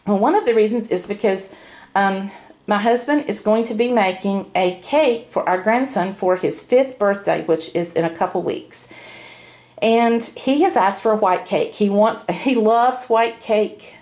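A woman's voice: mid-range voice, measured pace, darkish tone. Older woman, chatty homemaker. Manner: chatty, explanatory. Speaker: homemaker vlogger. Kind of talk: casual grocery haul chat. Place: indoors at home, by a dining table. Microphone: handheld camera, speaker behind it.

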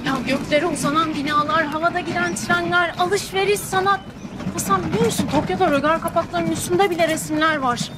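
An actress in her twenties playing a brisk, ambitious young city career woman in a naturalistic TV drama. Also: very high-pitched, over a noisy crowd, very noisy. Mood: excited. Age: 20s. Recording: music under the dialogue.

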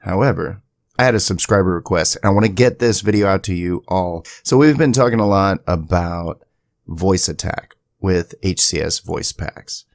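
A man giving a casual software tutorial in a conversational way, with chatty asides, as the creator of the video. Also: deep voice, normal speed, vocal fry, dry recording, clean studio sound. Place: indoors at a home PC, voice-over to screen capture.

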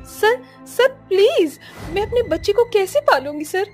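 Desperate pregnant woman, voiced by an actress, high pitch, tearful begging, cartoon melodrama, music behind. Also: lively intonation, measured pace, darkish tone. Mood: pleading. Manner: pleading, tearful.

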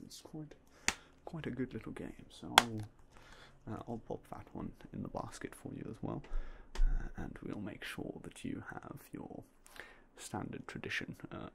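A young man softly whispering in a polite ASMR roleplay as a game store clerk, close to a binaural mic. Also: fairly low pitch, gravelly, slight background noise. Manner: soft, polite, mostly unvoiced whispering.

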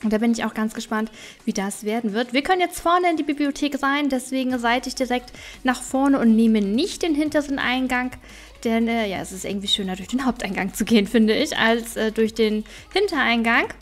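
A woman's voice: on the high side, lively intonation, fairly quick. A woman gaming YouTuber giving casual, chatty let's play commentary, thinking aloud as she plays. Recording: music behind the voice.

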